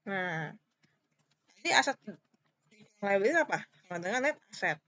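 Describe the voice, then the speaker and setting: A woman's voice: mid-range voice, sing-song, fairly quick, fairly bright tone, no echo, lo-fi sound. A woman with an Indonesian accent giving an informal step-by-step tutorial as a lecturer, repeating points and checking understanding with short questions. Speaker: accounting lecturer.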